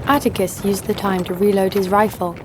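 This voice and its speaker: A woman playing a jaded, sardonic killer who narrates her own story in a deadpan way for an audio drama. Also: medium pitch, fairly quick, background noise, close to an everyday voice. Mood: cold, sardonic. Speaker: narrator and lead actor. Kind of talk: first-person scripted narration.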